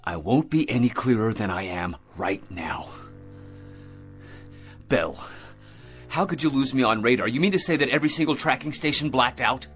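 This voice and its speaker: An actor playing a dazed, confused astronaut in a radio drama, speaking in a halting, stammering way. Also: male voice, mid-range voice, expressive, a bit bright, faint music behind, close to an everyday voice. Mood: agitated. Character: dazed, confused male astronaut just woken up.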